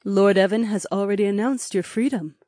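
Woman playing a gentle, composed fantasy heroine. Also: medium pitch, no room sound, clean studio sound, lightly acted. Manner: gentle, measured. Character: gentle, composed, reassuring young woman ally.

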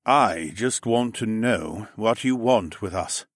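A man's voice: lowish voice, sing-song, breathy edge, no echo, studio quality, full character voice. A man doing a weary lizard-man sergeant. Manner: weary, slow.

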